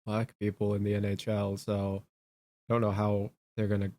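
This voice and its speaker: A man co-hosting a casual political chat, speaking in a halting way and repeating his words. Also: lowish voice, completely flat delivery, mellow, no room sound. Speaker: talk show co-host.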